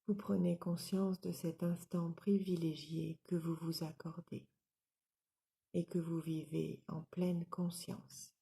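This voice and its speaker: A woman leading a guided yoga nidra relaxation as an instructor, giving calm, soothing, measured instructions. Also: fairly low pitch, vocal fry.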